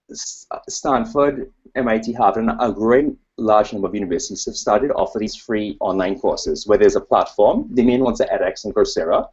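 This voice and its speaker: A low, husky male voice with a Trinidadian accent delivers an informal academic presentation. He wanders into asides and plays himself down. The sound is dull and lo-fi, like a webcast. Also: a little mellow. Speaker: academic researcher presenting at a conference. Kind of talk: informal conference presentation with slides.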